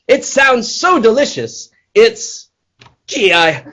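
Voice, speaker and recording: A man's voice: very high-pitched, big pitch swings, slow, bright, forceful tone, exaggerated voice. An actor playing an eager, folksy radio sponsor announcer in 1940s style, with a bouncy, theatrical salesman's delivery. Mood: eager, selling. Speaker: announcer and circus owner actor.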